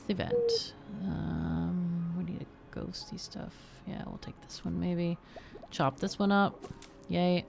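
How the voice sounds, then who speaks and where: A woman hosts a casual game stream in a fairly low voice with plenty of fry. She is expressive and chatty, often dropping into muttering as she works things out aloud. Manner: chatty, expressive, mutters to herself while playing. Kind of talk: casual live gameplay commentary, thinking aloud.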